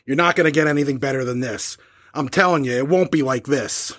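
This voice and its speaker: A man's voice: mid-range voice, fairly quick, background noise, close to an everyday voice. A man acting in an audio horror drama as an urgent, slangy rock musician desperate to hold his band together. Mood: incredulous, urgent.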